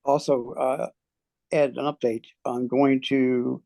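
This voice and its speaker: Creaky-voiced man giving a halting update. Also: mid-range voice, little pitch movement, dark tone, no echo. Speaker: club presenter. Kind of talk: casual meeting update. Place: online video call.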